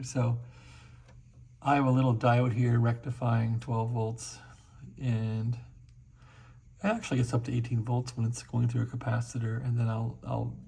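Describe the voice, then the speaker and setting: Man at a workbench, gravelly voice, relaxed chatty walkthrough of a restored radio, mid pitch. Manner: chatty, explains as he works. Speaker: equipment restorer demonstrating a receiver. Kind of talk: casual hands-on demo.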